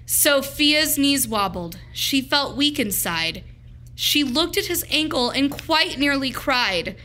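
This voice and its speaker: A woman teacher reading a picture book aloud to children in an expressive, deliberate, emphatic storytelling manner. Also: high voice, slight background noise.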